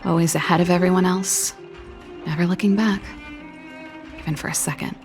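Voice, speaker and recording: Woman playing a breezy big sister, slightly creaky voice. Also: lowish voice, normal speed, natural voice. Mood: wistful, regretful. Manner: breezy, expressive, with sighs and trailing reflection. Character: spirited, teasing, wistful elder sister, inventor and musician. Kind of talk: video game voice acting. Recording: studio voice over background music. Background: game background music.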